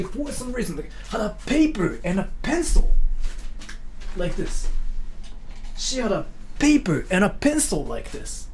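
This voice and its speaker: A man's voice: high voice, a little hiss or hum, rough recording. A man from Japan, rambling through a casual story on a livestream with lots of filler words. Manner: rambling, heavy use of "like" fillers. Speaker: streamer.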